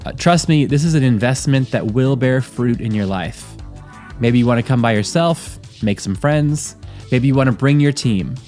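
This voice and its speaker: A man's voice: medium pitch, a little mellow. A man hosting a preaching podcast, reading a plain and direct event announcement and then interviewing a guest, with frequent fillers. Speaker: podcast host.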